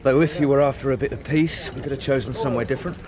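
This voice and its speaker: Actor playing a polite, fast-talking detective sergeant. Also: male voice, medium pitch, fairly even intonation, a crowd in the background, lightly acted. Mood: patient. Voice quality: polite, deferential. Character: loyal, patient male detective sergeant, family man. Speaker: police sergeant. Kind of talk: BBC radio drama acting.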